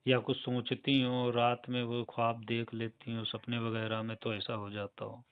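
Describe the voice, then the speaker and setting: A man's voice: medium pitch, monotone, moderate pace, slight background noise, natural voice. A man playing a local doctor who is colloquial, reassuring and slyly suggestive in a staged romantic phone-call roleplay. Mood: probing. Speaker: doctor advising a patient.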